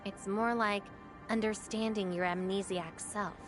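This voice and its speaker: A woman's voice: mid-range voice, steady tone, a little slow, close to an everyday voice. Enigmatic, teasing young woman, acted, over music. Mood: teasing, guiding. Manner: teasing, formal phrasing mixed with flippant slang. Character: enigmatic young female companion, teasing, self-assured, aloof. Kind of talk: video game voice acting. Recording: music under the voice.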